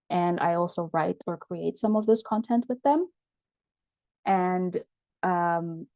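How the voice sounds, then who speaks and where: A young woman from Indonesia with an Indonesian accent, a guest in an interview, answering in a measured way with many ums and uhs. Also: fairly low pitch, vocal fry, mellow, no room sound. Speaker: guest, writer and storyteller.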